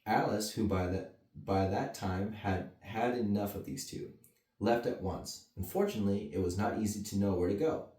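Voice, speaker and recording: A man's voice: fairly low pitch, normal speed, warm tone. A man reading a bedtime story to children with casual asides. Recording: roomy echo, mic at a distance.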